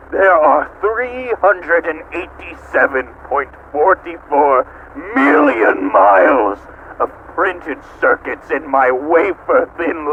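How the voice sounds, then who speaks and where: A hateful, godlike machine villain, speaks in a high, creaky voice and stretches words with theatrical menace. It is sadistic and mocking, delivering a dramatic monologue on a dull, lo-fi home recording. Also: male voice, background noise, exaggerated voice. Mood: seething hatred. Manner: theatrical, menacing, drawn-out words, swinging from hushed to shrieking. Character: sadistic, hate-consumed male supercomputer, mocking, theatrical, godlike. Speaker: amateur voice actor.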